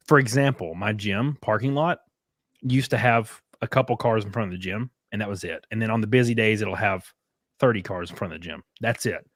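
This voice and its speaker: A man's voice: fairly low pitch, a bit bright, dry recording, studio quality. A man hosting a casual podcast chat, rambling with lots of fillers. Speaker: streamer host.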